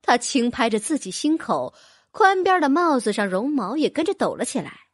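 Lively, high-voiced woman narrator. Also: normal speed, no echo, studio quality. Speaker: audiobook narrator. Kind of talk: scripted fantasy novel narration.

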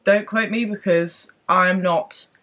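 A young woman chatting to camera in a casual book vlog, bubbly and excitable, rambling from one thought to the next. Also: on the low side, takes it slowly. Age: young adult. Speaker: book vlogger. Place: home room with bookshelves, later parked car.

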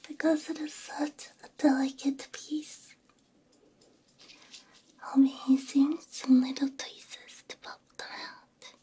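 Soft whispery asmr girl, really slow and soothing, mellow, right up close on a binaural mic, gentle reassuring roleplay. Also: high voice, flat delivery. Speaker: VTuber ASMR host. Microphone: close binaural ASMR microphone.

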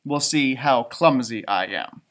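A man's voice: medium pitch, a little slow, fairly bright tone, voice pushed down, close to an everyday voice. A man voicing an earnest, clumsy young female fruit bat from a storybook, with emphatic declarations. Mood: determined.

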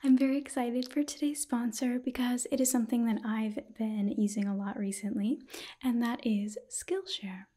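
A woman in her twenties presenting softly in an ASMR style, with a breathy, often whispered voice. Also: on the high side, steady tone, normal speed. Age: 20s. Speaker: ASMR video creator reading a sponsor message. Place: small indoor room.

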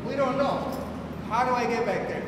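A man's voice: very high voice, normal speed. A man in his fifties giving a casual, explanatory walking tour as a school plant supervisor. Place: school stairwell and basement electrical room. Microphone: handheld interview microphone. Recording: echoey concrete basement, noisy handheld mic.

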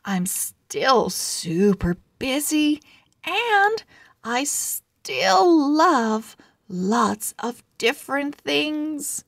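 Woman as a very slow, cheerful little girl owl. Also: sits high, vocal fry, no echo, clean studio sound, exaggerated voice. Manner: deliberate, animated, emphatic. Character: cheerful, chatty young girl owl writing her diary.

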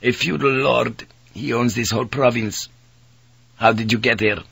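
A man voicing a hostile, hot-tempered priest in his twenties from Portugal, impatient and sharp as he interrogates, in an audiobook. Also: medium pitch, steady tone, normal speed, creaky, slightly rough, no echo, full character voice. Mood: irritated, stern.

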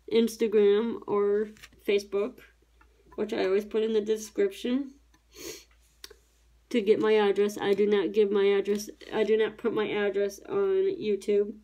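A woman's voice: medium pitch, mellow. Chatty woman rambling while she crafts. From Tennessee, USA. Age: 30s. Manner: rambling, chatty, self-correcting. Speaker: diamond painting YouTuber. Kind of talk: casual chat while crafting. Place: home craft table, indoors.

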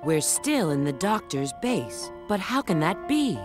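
Female bat spy for a game: coy, self-assured, animated intonation, leisurely pace over music. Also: on the low side. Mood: serious, surprised. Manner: coy, expressive, unhurried. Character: sultry, confident adult female bat spy. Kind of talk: video game cutscene acting. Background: background music.

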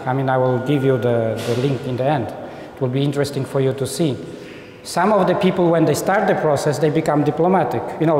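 A man's voice: on the high side, a little mellow, a little hiss or hum. A man, giving a conversational lecture and acting out quoted diplomats. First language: Polish. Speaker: lecturer on human rights. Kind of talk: informal lecture. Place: lecture hall with seated audience. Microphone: lapel mic. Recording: echoey hall.

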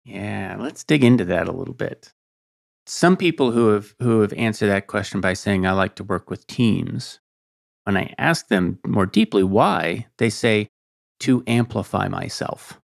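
A man's voice: fairly low pitch, measured pace, vocal fry. A man hosting a podcast, asking probing questions in a conversational interview. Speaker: podcast host.

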